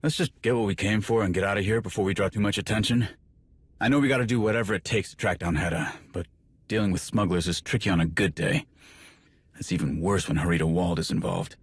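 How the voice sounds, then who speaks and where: Roguish game soldier: very deep, husky, blunt, grumbling, recorded dry and close. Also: male voice, fast talker, no echo. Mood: matter-of-fact. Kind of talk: cinematic video game acting.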